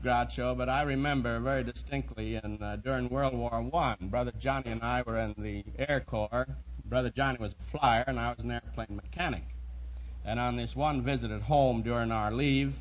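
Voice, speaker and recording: A middle-aged or older man, a quiz contestant, telling anecdotes on a vintage radio show. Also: medium pitch. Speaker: contestant, auto racer. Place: radio studio. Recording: dull, faintly noisy vintage radio recording.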